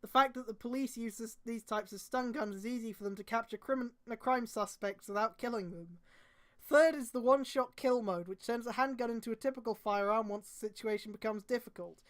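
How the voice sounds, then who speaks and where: A woman's voice: on the high side, fairly quick. A woman streamer reading fan fiction aloud, with sweary, exasperated commentary and emphatic delivery. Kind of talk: mocking read-aloud with casual commentary.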